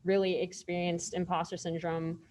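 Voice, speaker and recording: A young woman with a low, flat voice speaks on a student panel. She talks in a measured way and pauses often on "um." Faint background noise sits behind her. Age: young adult. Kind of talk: casual personal story.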